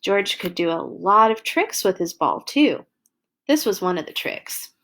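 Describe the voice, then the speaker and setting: A woman hosting a read-along, reading a children's picture book aloud with animated, exaggerated emphasis for young listeners. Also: mid-range voice, measured pace.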